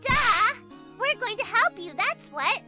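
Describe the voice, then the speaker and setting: A woman playing an excitable, loyal teenage girl sidekick who blurts out questions in an anime-style audio drama. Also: very high-pitched, takes it slowly, over loud music, exaggerated voice. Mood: indignant.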